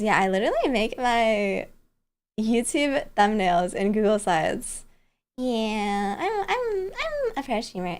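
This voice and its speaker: A woman livestreamer chatting casually and greeting viewers in a bubbly, giggly, cutesy and playful manner. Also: high voice. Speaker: livestreamer, virtual bear-girl host.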